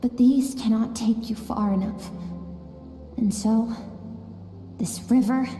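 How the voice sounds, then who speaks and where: A gentle, pleading young girl, high and echoing. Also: steady tone, takes it slowly. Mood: tender. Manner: soft, pleading, tender. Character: earnest gentle young girl, inner self. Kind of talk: video game cutscene dialogue. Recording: echoey reverberant hall. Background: soft music.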